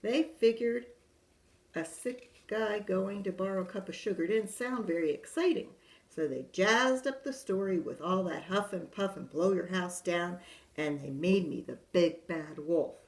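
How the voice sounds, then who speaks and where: A woman's voice: on the low side, unhurried, darkish tone. A woman reading as a sly wolf. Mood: protesting innocence. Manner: chatty, confiding storyteller. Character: sly smooth-talking male wolf, self-pitying. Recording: roomy echo.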